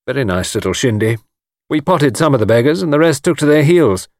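Offhand, brisk gentleman tour guide, voiced by a male reader, highly animated pitch, close dry sound, storybook hero. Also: dry recording, close to an everyday voice. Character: breezy, flippant gentleman adventurer working as tour guide. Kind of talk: audiobook character voice.

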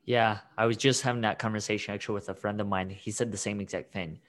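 A podcast host with a somewhat low male voice. He asks measured, thoughtful questions and talks in a relaxed, conversational way. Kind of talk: interviewing.

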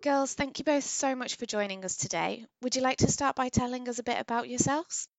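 A woman hosting a health podcast, introducing the topic and guests in a clear, structured way. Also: fairly high pitch, steady tone, fairly quick, soft background music. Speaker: podcast host.

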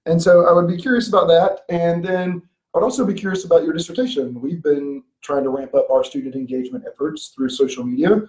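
A man hosts a casual podcast interview with a mellow, slightly high voice. He is chatty and laughs easily, often pausing on ums. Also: poor audio. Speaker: podcast host.

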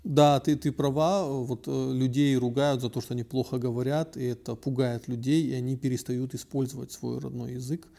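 Man, podcast guest, from North Ossetia, gravelly, mellow, reflective, hesitant. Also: mid-range voice, steady tone, brisk, hardly any pauses. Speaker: podcast guest, blogger and activist. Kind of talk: casual discussion.

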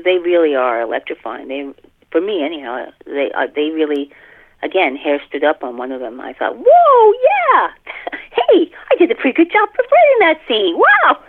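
A woman's voice: deep voice, big pitch swings, moderate pace, no room sound. A woman author being interviewed in a casual, effusive way over a dull-sounding remote call. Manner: effusive, exclamatory. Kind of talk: casual animated chat.

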